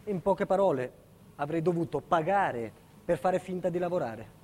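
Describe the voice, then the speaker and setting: A man's voice: a little high, lively intonation, moderate pace, a little mellow. A man playing a defiant, indignant building contractor, delivering an emphatic theatrical monologue about his ordeal. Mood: bitter explaining. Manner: emphatic, dramatic storytelling. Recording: reverberant hall with long echo.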